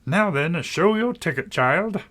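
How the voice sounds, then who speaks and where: A man playing an angry, impatient railway guard, loud and brusque, in a storybook reading. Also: sits high, sing-song, measured pace, pitched above the performer's own voice, full character voice.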